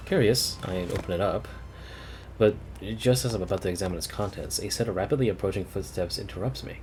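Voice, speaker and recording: A man's voice: deep voice, brisk, a little mellow, soft background music. A man reading a game story aloud as a first-person narrator.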